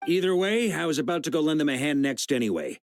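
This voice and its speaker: Commanding, lively knight grandmaster. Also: male voice, a little high, fast, no room sound. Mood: confident, easygoing. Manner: commanding, easygoing, rallying. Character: confident hearty male knight grandmaster leading troops. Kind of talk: video game voice acting. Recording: loud game music behind.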